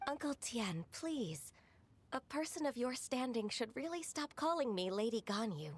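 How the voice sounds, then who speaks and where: An actress playing a gentle, modest young secretary in a video game, speaking politely and formally in measured phrases. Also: female voice, on the high side, very animated. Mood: modest, dutiful.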